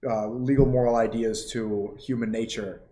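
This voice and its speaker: A man hosting a casual conversation, relaxed and a little hesitant as he asks questions. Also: mid-range voice, normal speed.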